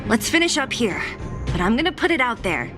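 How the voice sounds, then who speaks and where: A tough young heroine from a video game, sardonic and hard to impress. Her slightly high voice moves widely in pitch, with music behind it. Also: big pitch swings, brisk. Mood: dry, teasing. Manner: sardonic, unimpressed. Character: cool, tough, teasing young woman fighter. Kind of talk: anime-style game voice acting.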